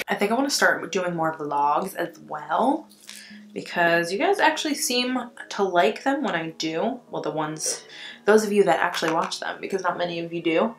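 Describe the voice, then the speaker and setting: A woman's voice: fairly low pitch, fairly bright tone. Chatty woman vlogger in an echoey room. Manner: chatty, profane, says "like" constantly. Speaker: beauty vlogger. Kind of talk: casual chat while doing makeup. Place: at home indoors. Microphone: camera mic. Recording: echoey room, faint background music.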